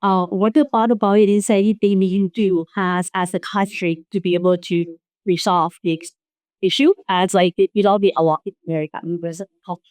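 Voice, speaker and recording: A woman's voice: mid-range voice, steady tone, moderate pace, a little mellow, clear, resonant tone, dry recording. A woman from Thailand, giving a comment and question from an audience.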